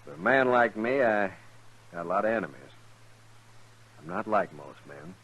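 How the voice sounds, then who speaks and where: A man's voice: fairly low pitch, lively intonation, moderate pace, a bit bright, breathy edge, highs cut off. A man acting a proud, plain-spoken gunfighter with folksy cowboy talk in a 1950s radio western. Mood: steady, defiant.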